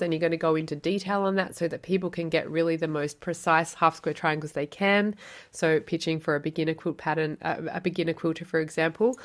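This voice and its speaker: Chatty Australian woman with a lowish voice. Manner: chatty, encouraging, rhetorical questions. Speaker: podcast host and quilt pattern designer. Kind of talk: solo podcast teaching.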